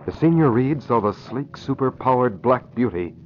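A man's voice: medium pitch, lively intonation, moderate pace. A man narrating dramatically in the style of a 1940s radio serial. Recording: muffled, noisy vintage radio recording.

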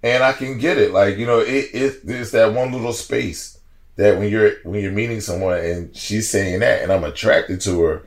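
A man in his forties, a podcast guest, telling stories in a casual, slangy, animated way and laughing as he goes. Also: on the low side, moderate pace. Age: 40s. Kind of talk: casual storytelling chat.

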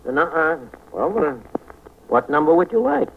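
Brash New tavern keeper, scratchy radio. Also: male voice, fairly high pitch, big pitch swings, normal speed, creaky. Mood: bossy, wry. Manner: wisecracking, malapropisms, hemming. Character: brash, fast-talking male tavern keeper running a shabby hotel. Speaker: comedy show lead. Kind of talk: 1940s American radio comedy acting. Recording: scratchy, tinny old radio recording, loud noise.

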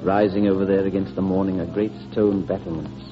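An actor playing a tender, grieving guardian in an old radio drama, gentle, earnest and theatrical. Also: male voice, low-pitched, flat delivery, fairly quick, close to an everyday voice. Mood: urgent storytelling. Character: tender, grieving guardian promising a fairy-tale journey. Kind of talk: 1940s radio drama acting. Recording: muffled vintage radio recording, music behind.

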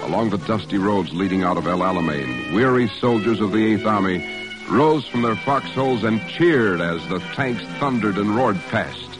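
A man's voice: lowish voice, moderate pace. A man working as a radio announcer, reading scripted narration in a formal, declamatory 1950s style. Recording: vintage radio broadcast, music behind.

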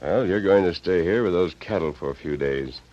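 Commanding western hero male actor, low earnest American voice, crisp diction, muffled old radio serial. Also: a little fast, close to an everyday voice. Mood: assured, earnest. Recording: dull lo-fi vintage radio recording, some noise.

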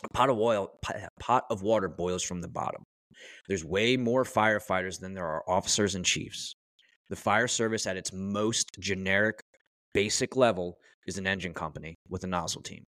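A man's voice: low-pitched, moderate pace, a bit bright, no room sound. A man giving opinionated, casual podcast talk as a guest, in a blunt, emphatic and colloquial way.

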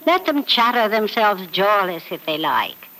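Grand, animated old society mother. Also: medium pitch, a little slow, poor audio. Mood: brisk, defiant. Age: older. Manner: emphatic, theatrical, grandly affectionate. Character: elderly wealthy mother, frank, doting, imperious. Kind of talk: 1940s radio soap opera acting. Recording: old radio drama recording.

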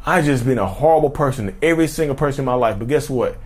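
A man playing a smooth-talking man who admits to past cheating and abuse, then flatters a new date. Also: mid-range voice, very fast. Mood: coaxing. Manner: flattering, casually admits wrongs.

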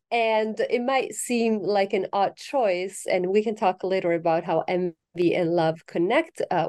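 A middle-aged woman from Italy, a philosophy professor, talking animatedly in an interview with many fillers and bursts of emphasis. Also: medium pitch. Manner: animated, fillers and restarts, rising emphasis on key words. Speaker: philosophy professor, guest author. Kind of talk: academic interview conversation.

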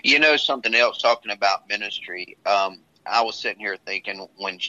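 Earnest preaching man with a bright voice. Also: on the low side. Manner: earnest, measured, with many restarts. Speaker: pastor. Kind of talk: casual talk sharing a testimony. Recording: dull lo-fi sound.

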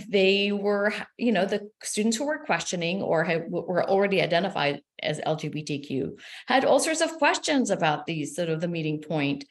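A middle-aged woman from Israel whose first language is Hebrew, a professor explaining her research in an interview, thoughtful and full of ums. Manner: thoughtful, frequent ums, lively pitch swings. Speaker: sociology professor and author. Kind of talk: interview conversation.

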